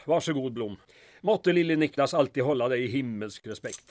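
A man's voice: medium pitch, expressive, no room sound, natural voice. A man playing an indignant, falsely accused mayor in an audio drama, sputtering with outrage. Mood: wry. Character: indignant male town mayor, wrongly accused.

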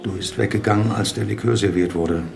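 An actor playing a reassuring middle-aged professor of medicine in an audio drama, with a gravelly voice and a calm, matter-of-fact manner. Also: male voice, fairly low pitch, a little fast, crowd noise. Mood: matter-of-fact, friendly. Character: reassuring older physician, professor and old friend of patient.

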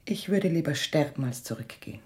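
A woman's voice: sits low, lively intonation, a little fast, a little mellow. An actress in a German radio play voicing a middle-aged mother, guarded, wounded and clinging. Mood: wounded, withdrawn.

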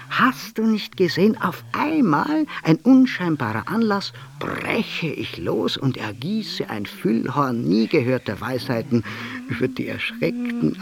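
A man's voice: medium pitch, gravelly, exaggerated voice. An actor playing a boastful bohemian writer declaiming his begging letter in a literary radio play. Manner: grandiloquent, declamatory, swooping emphasis.

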